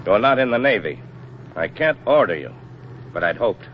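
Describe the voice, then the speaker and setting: An actor playing a stern, middle-aged Navy admiral in a 1940s radio drama, speaking in a formal, measured, authoritative way. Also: male voice, fairly low pitch, big pitch swings. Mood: controlled, serious. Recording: muffled, noisy 1940s radio recording.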